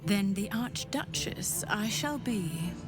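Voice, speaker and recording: Slow, sultry shapeshifting fiend. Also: female voice, mid-range voice, expressive, loud music behind the voice. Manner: seductive, measured. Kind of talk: video game character acting.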